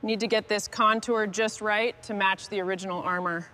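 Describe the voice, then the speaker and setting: A woman's voice: medium pitch, steady tone, moderate pace, bright, forceful tone, slight background noise. A woman speaking short, scripted remarks and commands as a machine restorer at work.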